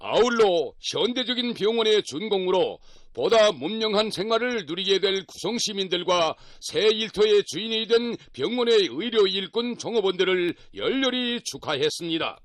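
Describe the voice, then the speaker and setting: Male announcer, North Korean accent, high voice, dry sound; solemn, declamatory delivery of scripted state news. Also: fairly bright tone, no room sound.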